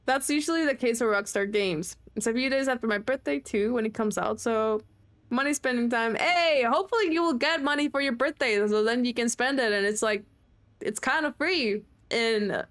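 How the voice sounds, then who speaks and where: Chatty young woman streamer, slightly high voice. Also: moderate pace, fairly bright tone. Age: late 20s. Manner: chatty, self-mocking, swearing freely. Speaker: game streamer. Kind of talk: casual livestream chat.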